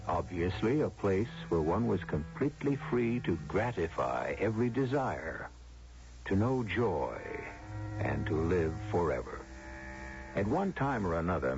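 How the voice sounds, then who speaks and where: A man hosting a radio drama with portentous, deliberate, rhetorical scripted narration. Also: deep voice. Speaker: host and narrator. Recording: muffled old radio broadcast recording. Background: music.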